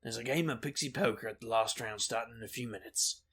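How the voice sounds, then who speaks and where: An actor playing a brash, wisecracking investigator in a fantasy audio drama, a reckless charmer with a glib, deadpan delivery. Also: male voice, medium pitch, fast talker, husky. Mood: glib, cocky.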